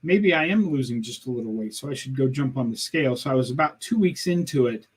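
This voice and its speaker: A man's voice: medium pitch, lively intonation, quick pace, breathy edge. A man of sixty in a casual interview, telling his story in an earnest, plain-spoken way. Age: 60s. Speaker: guest.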